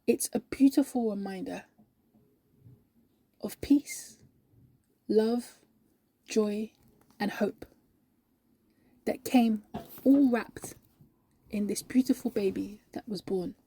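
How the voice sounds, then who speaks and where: Woman acting out a breathy, devout, joyful shop worker. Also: fairly high pitch, measured pace, dark tone, no room sound. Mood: reverent joy.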